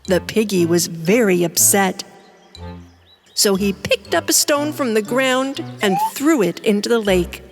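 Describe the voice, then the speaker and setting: A woman's voice: mid-range voice, lively intonation, unhurried. A woman narrating a children's fairy tale as a scripted storyteller. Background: music.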